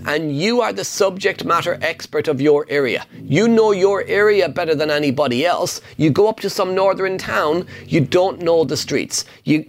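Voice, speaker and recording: A man's voice: a little high, slight background noise. A middle-aged man hosting a casual Q&A, answering viewer questions on property investing in an upbeat, emphatic way. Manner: upbeat, emphatic, punchy repetition.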